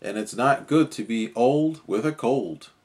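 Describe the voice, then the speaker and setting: A man voicing a kindly, excitable old man with a cold in a children's storybook. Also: medium pitch, measured pace, natural voice. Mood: protesting, worried.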